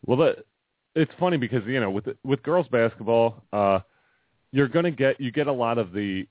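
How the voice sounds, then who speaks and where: Booming-voiced man on thin radio audio. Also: animated, moderate pace, dry recording. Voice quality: booming bassy voice. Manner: rambling, restarts often. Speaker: radio co-host and girls basketball coach. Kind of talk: casual sports radio chat. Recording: dry phone-line radio sound.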